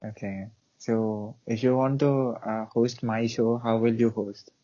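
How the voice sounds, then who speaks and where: A man of middling pitch hosts an online interview, stopping and restarting his questions often with many "uh"s. His voice sounds dull and lo-fi, carried over a video call. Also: level tone, a little slow, mellow. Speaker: interview show host.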